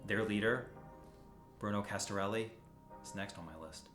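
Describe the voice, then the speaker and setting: Man acting as brooding masked hero, low voice, grim, resolute, roomy echo, music underneath. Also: moderate pace, a bit bright, close to an everyday voice. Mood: wary, determined. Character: determined, brooding male masked vigilante hero. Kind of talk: audio drama acting.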